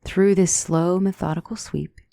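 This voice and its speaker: A woman playing a gentle demonic tutor in a scripted ASMR roleplay, speaking softly and deliberately as a calm battle mentor. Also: fairly low pitch, gravelly, dry recording. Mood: calm, instructive. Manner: measured, deliberate, soft-spoken, instructive.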